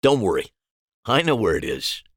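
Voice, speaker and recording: A man's voice: fairly low pitch, very animated, moderate pace, breathy edge, no room sound, clean studio sound. A man voicing a cheerful, reassuring magician with upbeat patter in an audio story. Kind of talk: acted dialogue in an audio short story.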